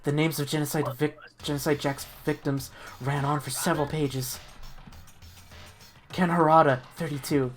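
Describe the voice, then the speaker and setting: A woman reading a visual novel game aloud in an amateur dramatic style, expressive and stumbling over her words. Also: deep voice, normal speed. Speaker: reader of the protagonist's lines in a group playthrough. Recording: music playing behind the voice. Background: game music.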